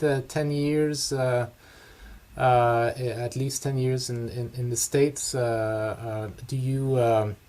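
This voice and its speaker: A man hosting a podcast, asking long questions haltingly with many "uh"s and repeated words. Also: mid-range voice, darkish tone. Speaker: podcast host. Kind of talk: interview questions.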